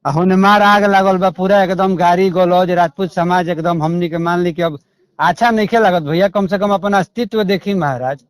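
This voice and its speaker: A man's voice: high voice, fairly even intonation, normal speed, smooth, dry recording. A man with a Bhojpuri accent speaking as a caller in a confrontational phone call, in an aggrieved, repetitive, coarse way.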